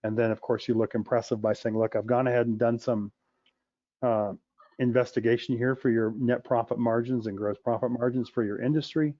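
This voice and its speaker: A man co-hosting a question-and-answer call, explaining software in a practical, step-by-step way. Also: mid-range voice, fairly even intonation, fairly quick, no room sound. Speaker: co-host. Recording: dull, dry lo-fi audio.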